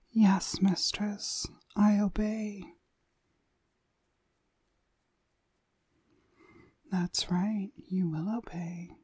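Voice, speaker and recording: A seductive witch, played by a woman, whispers extremely slowly in a very breathy, lulling voice. She is commanding and hypnotic, keeping her tone level and deliberate, as in an intimate ASMR roleplay. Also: medium pitch, dark tone, no room sound, heavily acted. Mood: dominant, soothing.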